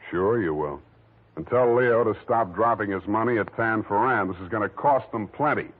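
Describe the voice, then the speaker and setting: Hard-boiled detective , male actor: wry, world-weary, clipped 1940s radio noir delivery, lowish voice, narrow vintage radio sound. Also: normal speed, background noise, natural voice. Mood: cynical, guarded. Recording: tinny vintage 1940s radio recording with music.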